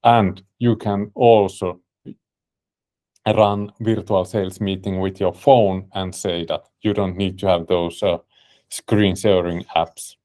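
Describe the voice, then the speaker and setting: Slow, halting Finnish man presenting. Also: low voice, level tone, dark tone. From Finland. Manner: halting, many fillers and restarts. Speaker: webinar host and company CEO. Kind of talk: webinar presentation.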